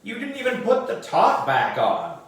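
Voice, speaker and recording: A man's voice: high voice, wildly swooping pitch, unhurried, pitched above the performer's own voice, exaggerated voice. A man voicing a scornful, bossy sister in a children's storybook, with a scolding, snippy manner. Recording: roomy echo, distant microphone.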